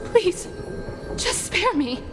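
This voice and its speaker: A woman's voice: very high-pitched, big pitch swings, very slow, music in the background, heavily acted. An actress playing a cowardly female thief in a video game, pleading and stammering for her life. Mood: terrified, pleading. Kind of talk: scripted video game voice acting.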